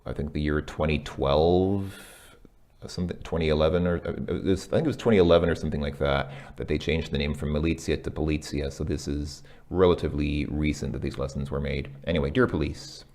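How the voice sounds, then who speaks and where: A man working through a language lesson out loud, hesitant, with many ums and self-corrections. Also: a bass voice, fairly even intonation, fairly quick. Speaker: language learner reviewing a lesson. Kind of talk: casual thinking-aloud commentary.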